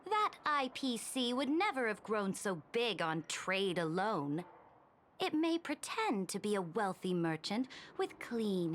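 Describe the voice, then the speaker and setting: Haughty, regal young empress. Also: female voice, medium pitch, fairly bright tone, a little hiss or hum. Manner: formal, regal, measured diction. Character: haughty young empress and conqueror, cool and skeptical. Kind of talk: video game drama acting.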